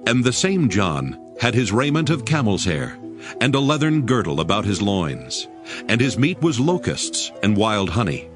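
Expressive male scripture narrator. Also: medium pitch. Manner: solemn, formal.

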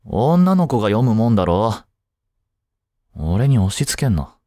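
A man playing an aloof young boyfriend in an intimate voice drama, grumpy but soft-hearted, speaking haltingly close to the mic. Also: lowish voice, big pitch swings, no echo. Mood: curt, dismissive. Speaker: amateur voice drama creator and actor.

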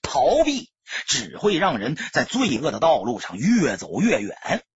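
A man's voice: mid-range voice, wildly swooping pitch, a little slow, slightly rough, no echo, muffled recording. A man narrating an audiobook as scripted dramatic storytelling, solemn and emotive.

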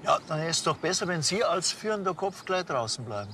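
Deadpan, bright-voiced cheeky policeman. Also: on the high side, a little fast, slight background noise, exaggerated voice. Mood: dry, deadpan. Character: dry, cheeky village policeman needling his boss. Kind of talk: television crime comedy acting.

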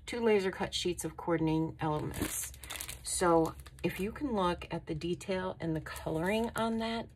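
A woman vlogging a casual craft haul at her table, unhurried and admiring, with frequent ums. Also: fairly low pitch, darkish tone, a little hiss or hum. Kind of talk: casual show-and-tell haul. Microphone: overhead camera on a tabletop.

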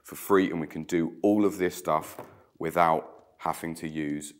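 Man, thirties, very low voice, croaky with a cold, chatty craft tutorial, stone room. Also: fairly even intonation, measured pace. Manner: chatty, hands busy weaving. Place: old stone-walled room indoors.

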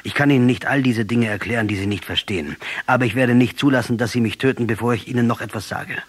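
An actor playing a defiant, passionate, sardonic captured guerrilla commander in a German radio drama, combative and mocking, with a husky voice. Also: male voice, mid-range voice, fast, no room sound, close to an everyday voice. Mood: grave, urgent. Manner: combative, mocking, rhetorical, insistent.

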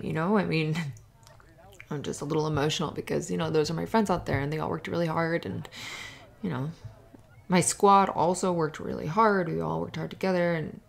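Woman acting a flustered young cheerleader, creaky voice. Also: fairly low pitch. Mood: downcast. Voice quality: creaky, often dropping to breathy whispers. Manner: halting, stammering, nervous little laughs. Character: flustered, sweet young cheerleader hiding her upset. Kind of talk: ASMR roleplay, spoken to the listener.

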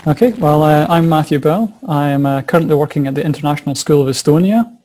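A man's voice: fairly high pitch, fast, a little mellow, slight background noise. A young Scottish man, a science and maths teacher, answering interview questions over a video call from a small office, eager and repeating words as he thinks. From Scotland. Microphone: video call webcam.

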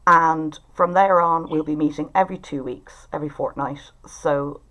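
A woman's voice: deep voice, steady tone, normal speed, fairly bright tone. A woman with an Irish accent, informally hosting an online group discussion over a video call. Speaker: writers' group facilitator and event host. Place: indoors at home.

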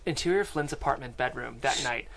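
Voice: mid-range voice, animated, fairly quick, gravelly, a bit bright, poor audio. A person reading screenplay stage directions and scene headings as scripted narration in a studio. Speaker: stage-direction reader at a table read. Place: podcast studio with several people. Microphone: studio microphone.

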